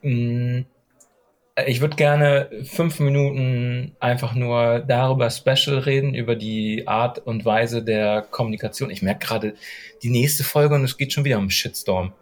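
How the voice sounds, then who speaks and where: A man's voice: mid-range voice, steady tone, unhurried. A man with a middle-range voice co-hosting a casual podcast. He teases his co-host and states strong opinions. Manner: teasing, opinionated. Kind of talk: casual chat.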